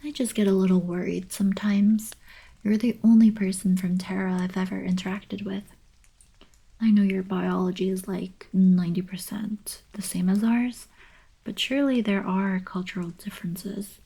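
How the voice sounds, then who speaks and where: A young woman playing a friendly, eager alien princess in an ASMR roleplay, soft and whispery, with casual girlish coaxing. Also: mid-range voice, steady tone, moderate pace, gravelly, slightly rough, rough recording. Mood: gently concerned. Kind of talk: ASMR roleplay, intimate acted monologue.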